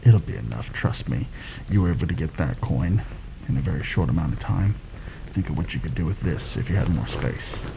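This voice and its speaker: A very deep-voiced, shrewd male mercenary, acted out by a man in a soft-spoken ASMR fantasy roleplay. He confides his secret plans deliberately and a little formally, with a gravelly creak and lively swings in pitch. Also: very noisy, full character voice. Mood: confiding, assured.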